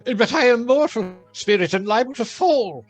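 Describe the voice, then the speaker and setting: An actor playing an old miserly gentleman with formal, expressive diction in a theatrical radio play. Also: extremely high pitch, moderate pace, faint music behind. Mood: nervous, querulous. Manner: formal, archaic, expressive delivery.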